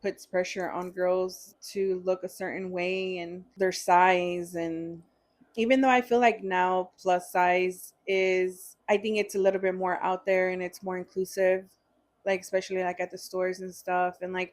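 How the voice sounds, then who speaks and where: A woman in her thirties, a guest on a casual podcast, talking in a relaxed, chatty way full of ums and likes. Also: on the low side, a little slow. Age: 30s.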